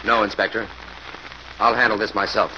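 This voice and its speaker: A noisy, dull old radio recording presents an actor as a self-reliant crime-fighting hero. His male voice is deep, serious and purposeful, in classic forties serial style. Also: level tone, normal speed, lightly acted. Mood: resolute. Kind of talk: 1940s radio acting.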